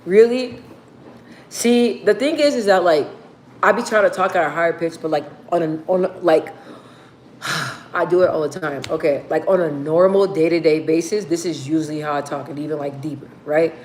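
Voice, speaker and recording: A woman with a deep, bassy voice, casually chatting with viewers on a livestream. She rambles, reads comments aloud and swears freely. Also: normal speed, a little mellow, a little hiss or hum. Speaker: livestream host.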